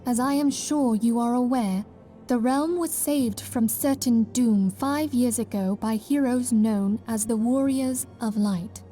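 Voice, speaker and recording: A woman's voice: fairly high pitch, unhurried, warm tone, soft background music, lightly acted. A woman voicing a solemn, gracious leader of a heroic order in formal, earnest fantasy game dialogue. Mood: earnest, hopeful.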